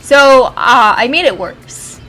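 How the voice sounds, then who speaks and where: A woman's voice: high voice, expressive, slow, a bit bright, rough recording. A woman game streamer chatting casually and swearing often as she plays. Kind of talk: casual chat while streaming a video game. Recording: music in the background. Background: music.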